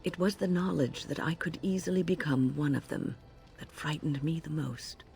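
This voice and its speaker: Thoughtful, haunted female mage for a fantasy game: dark, soft tone with fry, solemn and measured. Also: sits low, faint music behind. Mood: grave, haunted. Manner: measured, grave, reflective. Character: earnest, thoughtful woman mage haunted by fear of possession. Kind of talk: video game dialogue acting.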